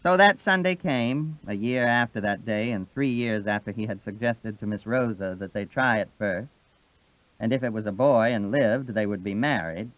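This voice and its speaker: A man narrating a literary audiobook in a measured, expressive storytelling manner. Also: fairly low pitch, no room sound, phone-quality sound.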